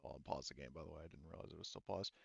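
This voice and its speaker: A man narrating casually as game master of a tabletop roleplaying game, in a halting, conversational way. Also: rumbling low, gravelly, a bit bright, breathy edge, no room sound, highs cut off.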